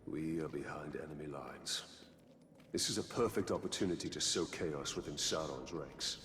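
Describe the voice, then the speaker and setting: A grim male ranger in a fantasy video game. His voice is low, and he speaks tersely and solemnly, set on his purpose, with faint ambience behind him. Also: moderate pace, fairly bright tone, a little hiss or hum. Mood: grim, resolute.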